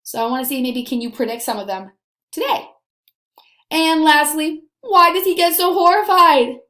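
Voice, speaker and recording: A woman's voice: high voice, pushed and bright. A woman teacher leading a read-aloud lesson for young children, stressing key words and putting questions to her pupils. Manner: slow, deliberate emphasis with questions to pupils. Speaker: elementary school teacher. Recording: roomy, echoey sound.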